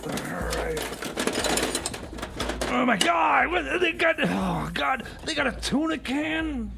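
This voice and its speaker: Frazzled, rambling male mechanic for radio comedy, acted by a man, high-pitched and repetitive, over clearly noisy ambience. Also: very animated, takes it slowly, exaggerated voice. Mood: dismayed muttering. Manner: stammering, repetitive, flustered. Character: frazzled, rambling mechanic and time traveller Jeb. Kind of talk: comedy radio theater, semi-improvised.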